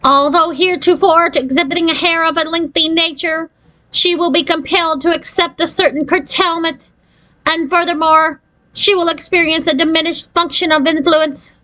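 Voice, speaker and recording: Woman playing a high-pitched, officious fairy godmother. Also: steady tone, normal speed, pushed and bright, low-quality recording. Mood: chanting. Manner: pompous, formal bureaucratic wording. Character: anxious, self-important fairy godmother woman spouting officialese.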